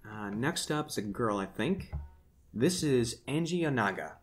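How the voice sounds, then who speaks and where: A man's voice: mid-range voice, expressive, measured pace. A man hosting a casual group chat, asking questions and approving of the answers in an encouraging way.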